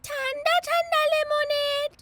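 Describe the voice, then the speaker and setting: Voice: very high-pitched, little pitch movement, unhurried. A performer voicing a cheerful, inventive little girl in a children's cartoon, with an eager, childlike manner. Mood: playful.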